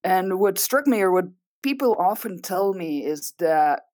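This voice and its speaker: A woman's voice: lowish voice, expressive, a little slow, darkish tone, no room sound, clean studio sound. A woman from Belgium with a Dutch accent, a scientist answering interview questions thoughtfully, with frequent ums and restarts. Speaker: guest scientist.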